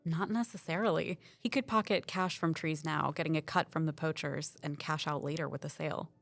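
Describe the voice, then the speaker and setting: A shrewd, low-voiced investigator. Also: female voice, fairly even intonation, fairly quick, vocal fry, fairly bright tone, no echo, faint music behind. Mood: suspicious. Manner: dry, matter-of-fact, explanatory. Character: shrewd, knowing female colleague, quietly suspicious investigator. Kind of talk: audio drama acting.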